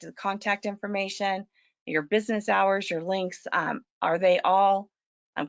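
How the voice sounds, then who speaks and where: Slightly hoarse woman presenting a webinar. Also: mid-range voice, a bit bright, dry recording. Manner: clears throat often, many ums. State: a little hoarse from allergies. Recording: dull lo-fi web call audio.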